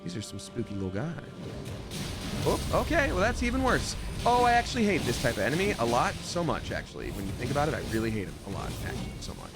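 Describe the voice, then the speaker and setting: A man chatting casually and at length while streaming a video game, rambling along and jumping up in excitement. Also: medium pitch, quick pace. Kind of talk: live gameplay commentary. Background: game music.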